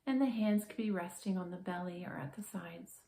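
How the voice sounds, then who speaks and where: A woman guiding a body scan meditation in a calm, gentle, measured manner with slow, soothing pauses. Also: fairly low pitch, gravelly, echoey room. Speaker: meditation guide, therapist.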